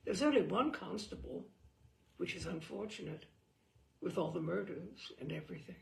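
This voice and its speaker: A woman reading a humorous book aloud in a theatrical, deadpan way, with dramatic emphasis and pauses. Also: fairly low pitch, vocal fry. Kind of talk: theatrical read-aloud of dry comic prose.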